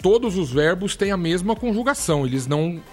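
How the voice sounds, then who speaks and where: A man's voice: high voice, animated, fairly quick, faint music behind. A man co-hosting a casual chat, joking and self-deprecating as he shares opinions. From Brazil.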